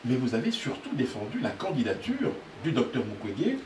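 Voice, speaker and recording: A man hosting a talk show, courteous and quick with short acknowledgements. His pitch moves about lively as he speaks. The sound is roomy and picked up from some distance, with clear background noise. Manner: courteous, frequent short acknowledgements, hesitant fillers. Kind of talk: interviewing guests in a studio debate show.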